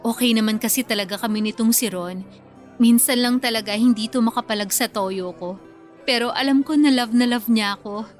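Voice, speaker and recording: Casting: actress as feisty young Filipina fiancée, very high voice, moody and teasing, radio drama with music underneath. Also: female voice, brisk, close to an everyday voice. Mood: sweetly teasing. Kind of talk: radio drama acting in Tagalog.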